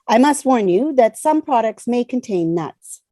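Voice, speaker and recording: A woman's voice: a little high, expressive, unhurried, dark tone, no room sound, clean studio sound. A woman playing a polite space-shuttle hostess reciting scripted announcements in a fan table read. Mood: brisk, dutiful. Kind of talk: fan table read over video call.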